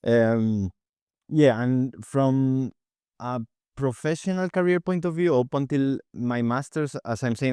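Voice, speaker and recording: A man's voice: medium pitch, darkish tone, clear, resonant tone, no echo, studio quality. A man from Spain in his thirties, a researcher answering podcast interview questions, hesitant with frequent repeated words and restarts. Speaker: guest researcher.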